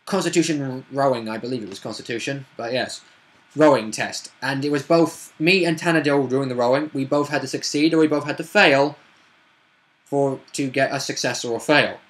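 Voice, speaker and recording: Casual male storyteller, a tabletop player retelling a session off the cuff, halting and self-correcting. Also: mid-range voice. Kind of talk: casual unscripted game recap.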